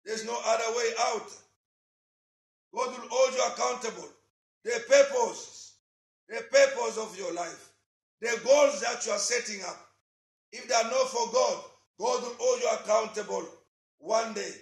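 A man's voice: very high voice, measured pace, vocal fry, pauses often, reverberant, low-quality recording. A man preaching and leading prayer with fervent, repetitive phrasing. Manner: fervent, repetitive, rising to shouts. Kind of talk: sermon and congregational prayer.

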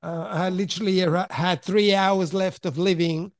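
A middle-aged man from Italy who grew up in Switzerland, with a high voice, recounting his past in a frank, reflective way. He pauses to search for the right words as he talks. The sound is dry and close, with no room echo. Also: fairly even intonation. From Italy, raised in Switzerland. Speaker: podcast guest. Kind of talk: candid personal storytelling in conversation.

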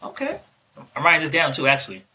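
A man's voice: a little high, big pitch swings, fast talker, sharp tone, a little hiss or hum. A man co-hosting a podcast, chatting casually and teasing his guest with jokes. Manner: joking, teasing, playful. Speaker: podcast co-host.

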